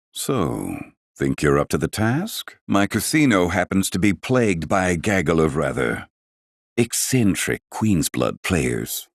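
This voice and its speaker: A suave, calculating casino boss from a video game, speaks in a deep voice with very varied intonation. He is formally polite and insinuating, always angling for a deal, and the recording is dry and close. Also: gravelly, dry recording, exaggerated voice. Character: smooth-talking, calculating casino owner and card dealer. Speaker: game character voice actor. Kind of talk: scripted video game dialogue.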